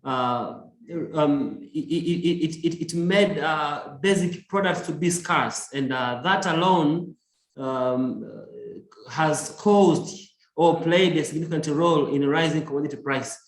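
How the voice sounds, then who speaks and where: A man with a Tanzanian accent speaks up in an online discussion. His voice is slightly high and noticeably roomy with echo, and he talks haltingly, searching for words. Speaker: environmental organization worker, audience participant. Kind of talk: spontaneous discussion contribution.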